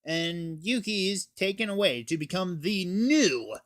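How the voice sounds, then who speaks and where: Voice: mid-range voice, lively intonation, measured pace, a bit bright. A teenage reviewer recapping a story in a casual, scripted style. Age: teen. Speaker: anime reviewer.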